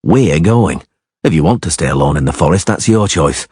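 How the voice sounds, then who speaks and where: A man voicing a gruff, sardonic monster-hunter who talks in a dry, mocking, stern way. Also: rumbling low, lively intonation, normal speed, darkish tone, no room sound, pitched below the performer's own voice, exaggerated voice.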